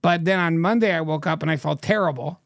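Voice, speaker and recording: A man's voice: fairly high pitch, fast, gravelly, no room sound. Man, 50s, podcast co-host, rambling teasing banter, dry recording. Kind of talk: casual banter.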